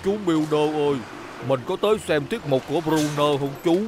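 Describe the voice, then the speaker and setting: A man's voice: fairly high pitch, moderate pace, noisy background, natural voice. A man voicing a friendly, caring construction vehicle in a dubbed children's cartoon. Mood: eager. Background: music.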